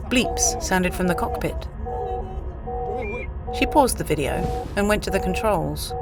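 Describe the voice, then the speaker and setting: A woman's voice: on the low side, poor audio. A woman reading scripted story narration for an audio play.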